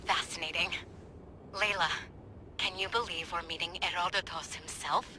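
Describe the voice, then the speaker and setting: A woman's voice: fairly low pitch, fairly even intonation, normal speed, background noise, close to an everyday voice. A woman playing an earnest, nerdy young historian in a video game, eager and friendly as she talks. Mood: fascinated. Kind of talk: video game cutscene acting.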